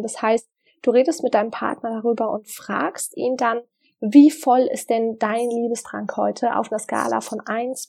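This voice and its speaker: A woman's voice: fairly high pitch, a little mellow, slightly rough. Earnest German woman sharing relationship advice. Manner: earnest, reflective, many fillers and pauses. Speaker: vlogger giving relationship advice. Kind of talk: casual direct-to-camera advice talk.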